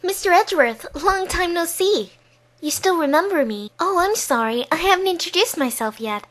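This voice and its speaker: Girl as a cheery forensics student, polite n eager, high bright voice, game dub. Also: expressive, moderate pace. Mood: cheerful, friendly. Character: friendly, eager young forensic science student.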